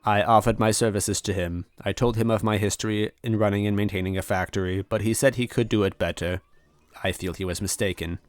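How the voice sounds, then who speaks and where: A man's voice: fairly low pitch, fairly even intonation, a little fast, dry recording, lightly acted. A man voicing a lonely, apologetic old supercomputer in a story, speaking in formal, precise wording. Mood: formal, resigned.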